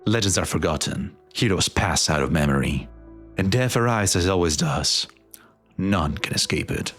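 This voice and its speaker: Man playing a deep, grim fantasy war leader. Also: animated, fairly bright tone, soft background music. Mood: grave, fatalistic. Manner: grave, solemn, deliberate. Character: grim, fatalistic male war leader, weary and resolute. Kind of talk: dramatic fantasy game dub acting.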